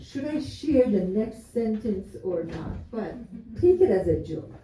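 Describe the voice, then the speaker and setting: A man's voice: high-pitched, sing-song, a little slow, dark tone. A man in his seventies, from the United States, reading a prepared introduction of a speaker with dry humor at a community gathering. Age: 70s. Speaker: event host introducing a speaker. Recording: roomy, distant mic with faint noise.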